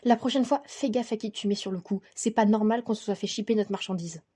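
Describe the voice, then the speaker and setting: An actress playing a tough, impatient young mafia sister in a French audio drama, curt and dry. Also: female voice, medium pitch, fairly quick, mellow, slightly rough, lightly acted. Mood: curt, irritated. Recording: faint music behind.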